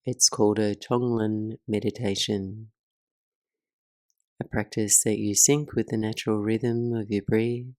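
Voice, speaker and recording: Woman doing guided meditation, really slow n even, soothing, bit gravelly, soft pauses. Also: extremely low pitch, dry recording, studio quality. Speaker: meditation teacher and host.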